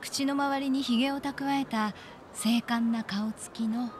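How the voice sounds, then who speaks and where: A woman's voice: a little high, fairly even intonation, measured pace, background noise, close to an everyday voice. An actress playing a wistful young woman recalling a lost love in an audio drama. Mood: wistful, reflective. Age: young adult. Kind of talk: audio drama dialogue and narration.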